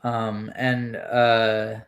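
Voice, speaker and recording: A man in his late twenties speaks slowly on a livestream, his pitch barely moving. He hesitates often and lets sentences trail off. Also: completely flat delivery. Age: 20s. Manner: hesitant, lots of fillers, trails off with "so". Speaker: livestream co-host. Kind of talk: casual livestream chat.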